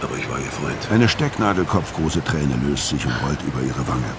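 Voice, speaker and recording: An actor playing a weary, middle-aged ex-convict who narrates and speaks in a radio crime drama, laconic and guarded, with a gravelly voice. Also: male voice, a bass voice, expressive, a little fast, noisy background. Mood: guarded, subdued. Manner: laconic, understated. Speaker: narrator and lead character. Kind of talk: German radio crime drama, first-person narration and dialogue.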